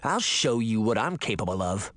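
A man voicing a cocky, quick-tempered fairy boy villain in an audiobook, defiant and sarcastic, prone to shouting and growling. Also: lowish voice, sing-song, no room sound, pitched below the performer's own voice.

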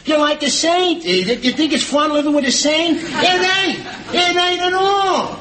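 A man playing a stubborn, blustering middle-aged working-class husband with a New York accent and rough grammar, in a sitcom. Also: extremely high pitch, lively intonation, fairly quick, fairly bright tone. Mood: exasperated, mocking. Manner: blustering, ungrammatical, badgering. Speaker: sitcom actor. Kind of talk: sitcom acting before a studio audience.

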